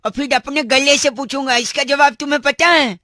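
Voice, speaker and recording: High bright hoarse guy doing cheeky prank calls on radio, silly and teasing. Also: fairly even intonation, normal speed, dry recording. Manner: cheeky, teasing, playful jokes with a hoarse put-on kid voice. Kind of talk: comic prank phone call.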